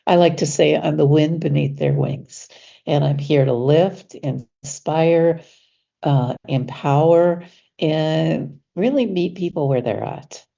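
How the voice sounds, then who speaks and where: A woman coach talking casually as an interview guest, sounding stuffy from allergies, with laughs and fillers as she slows down to stress words. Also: deep voice, vocal fry, dark tone, dull audio.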